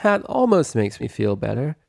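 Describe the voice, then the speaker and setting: A man's voice: medium pitch, sing-song, moderate pace, gravelly, dry recording, studio quality, pitched above the performer's own voice, full character voice. A man voicing an anxious, affectionate middle-aged female pony with an earnest, flustered manner in a storybook reading. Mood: nervous.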